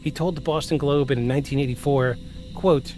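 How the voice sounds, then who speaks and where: A man in his forties hosts a podcast in an ordinary mid-range voice. He moves between scripted narration and loose, self-mocking banter. Clear music plays under him. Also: medium pitch, fairly even intonation, fairly quick. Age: 40s. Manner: self-deprecating, joking banter. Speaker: podcast host. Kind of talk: scripted narration and casual chat.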